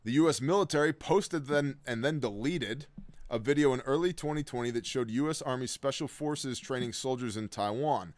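A middle-aged man reads news articles aloud at his desk and cuts in with sardonic, blunt commentary. His voice sits at an ordinary male pitch. It is captured dry and close by a webcam. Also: normal speed, dry recording. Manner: sardonic, blunt asides between read passages. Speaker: host of a preparedness news show.

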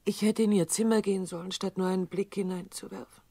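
An actress in a German radio drama playing a distraught, guilt-ridden daughter, breathy and hushed, speaking in an anguished, halting way. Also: female voice, medium pitch, steady tone, no echo. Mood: agitated, self-blaming. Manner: anguished, halting, self-reproaching.

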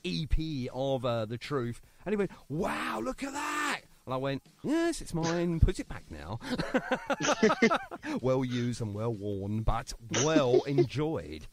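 A man's voice: medium pitch, very animated, takes it slowly, a crowd in the background. A man hosting a radio show with a British accent, chatting casually and jokily with a guest, rambling with lots of fillers. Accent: British English. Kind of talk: casual radio interview chat.

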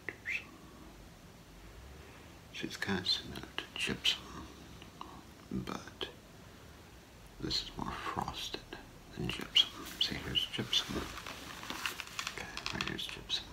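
Very deep, gravelly whispering man. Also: huge pitch swings, low-quality recording. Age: middle-aged. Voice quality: breathy, whispery, gravelly murmur. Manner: hushed, halting, unhurried. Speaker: ASMR performer role-playing a gemologist. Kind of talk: ASMR role play. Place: small curtained room indoors.

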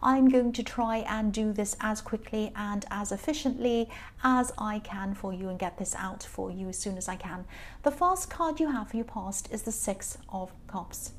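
A woman with a slightly croaky voice giving a chatty, rambling tarot reading full of fillers. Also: mid-range voice, moderate pace. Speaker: tarot reader. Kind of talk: casual spoken tarot reading.

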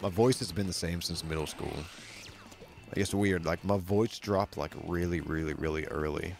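A young man with a very deep voice casually commenting on his game, laid-back and swearing a lot. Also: moderate pace, gravelly, soft background music. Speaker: game streamer. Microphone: studio mic with bass roll-off.

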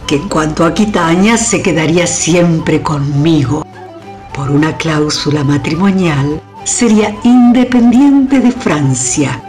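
A woman's voice: low voice, a little mellow. An actress playing a proud, domineering older queen in a historical radio drama, with a theatrical, scornful delivery. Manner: theatrical, emphatic repetitions, haughty. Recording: music under the voice.

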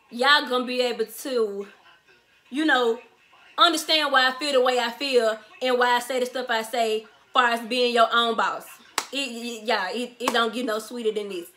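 Young woman, Memphis accent, high-pitched, bright and sharp, slangy and animated, small bedroom, faint hiss. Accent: Southern US, Memphis. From Memphis, Tennessee. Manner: animated, slangy, repeats herself for emphasis. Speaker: vlogger, small business owner. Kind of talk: casual Q&A vlog to camera. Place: bedroom, sitting on a bed, indoors.

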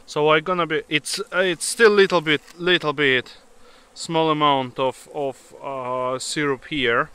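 A non-native English-speaking man, a beekeeper, demonstrating outdoors in a grassy field and talking haltingly with many fillers. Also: on the high side, steady tone, bright, forceful tone, clean tone. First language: not English. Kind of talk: hands-on demonstration. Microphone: handheld camera mic. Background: bees buzzing.

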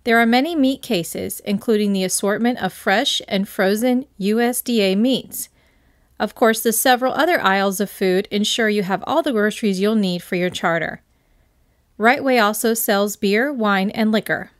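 A woman narrates a promotional piece in an even, informative tone at a middling pitch. The recording is dry and close, with no room sound. Her delivery is steady and scripted. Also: moderate pace, no room sound. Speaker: voice-over narrator.

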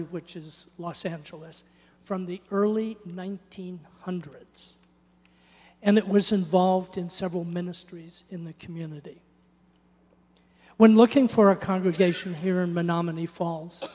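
Older guy testifying at church, high-ish voice, handheld mic, bit halting, hall reverb. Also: a little mellow. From Norway. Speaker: church member giving a testimony. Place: church sanctuary.

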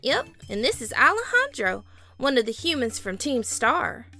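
A woman voicing a proud, vain sea-lion siren in a cartoon comic dub, expressive and a little sassy. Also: high voice, moderate pace, soft background music, full character voice. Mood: matter-of-fact. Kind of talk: animated comic dub acting.

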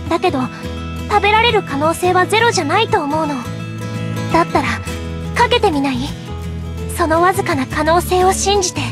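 A woman voicing a sweet, earnest young woman in an anime game, gentle and playfully deadpan. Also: very high-pitched, a little fast, pauses often. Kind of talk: Japanese anime game voice acting. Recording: clear background music.

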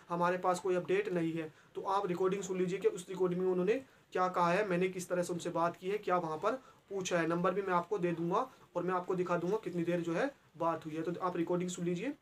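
A young man hosting an exam-news channel. He explains earnestly to camera from a small indoor room, repeating himself with filler pauses. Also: sits high. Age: young adult.

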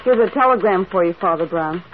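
A woman's voice: lowish voice, animated, brisk, background noise, lightly acted. Woman acting bitter defiant daughter in old radio drama, narrow phone-like sound. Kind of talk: vintage radio mystery acting. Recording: tinny, narrow-band old radio recording.

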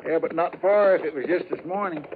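An American actor playing a folksy, deferential marshal's sidekick with rustic phrasing in a 1950s radio western. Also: male voice, fairly high pitch, brisk, lightly acted. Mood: dutiful. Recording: tinny, noisy 1950s radio recording.

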